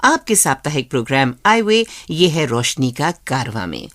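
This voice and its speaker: A woman's voice: low voice, very animated, normal speed, a bit bright, no echo, studio quality. A woman co-hosting a radio show, presenting from a script.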